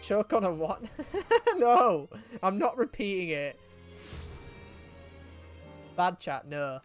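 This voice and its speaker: A woman's voice: mid-range voice, big pitch swings, takes it slowly, faint music behind. A British woman streaming a game live, chatting casually, swearing and reacting to her chat. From United Kingdom.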